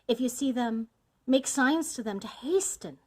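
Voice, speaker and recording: Frightened young storybook wife, woman performing: high-pitched, meek, tremulous pleading, very expressive. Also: measured pace. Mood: anxious, urgent. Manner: high, trembling, stammering pleas. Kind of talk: dramatised fairy-tale storybook reading.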